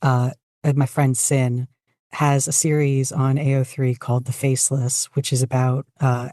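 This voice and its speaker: Mellow, mid-pitched podcast guest, close and dry. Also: no room sound. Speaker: podcast guest, fan fiction writer and audio narrator. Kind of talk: casual interview chat.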